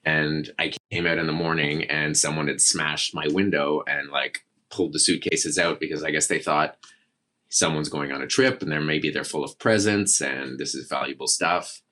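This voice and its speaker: A man's voice: a bass voice, fairly even intonation, moderate pace, bright, forceful tone. A man casually telling a long, self-deprecating story as a guest on a chat show.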